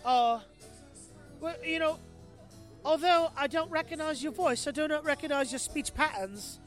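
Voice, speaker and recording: A man talks casually in an English accent that sounds put on. He rambles through his stories, circling back over words and playing with them, while music plays behind his voice. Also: extremely high pitch. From Milwaukee, Wisconsin, United States.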